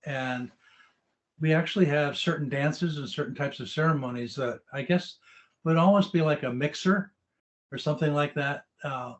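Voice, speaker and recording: A man guest speaker explaining in a measured, hesitant way with many fillers. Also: on the high side, highs cut off.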